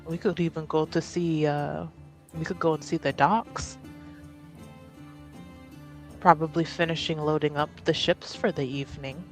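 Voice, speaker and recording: A woman casually narrating her character's actions as a player in a tabletop roleplaying game, in a halting, giggly way. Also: sits low, steady tone, faint music behind.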